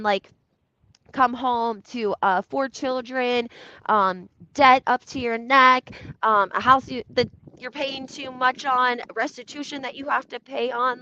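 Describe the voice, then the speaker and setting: A woman's voice: on the high side, pushed and bright. A woman giving casual, opinionated reality TV commentary in a conversational, emphatic way, using rhetorical questions and repetition. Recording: roomy echo, slightly dull lo-fi sound.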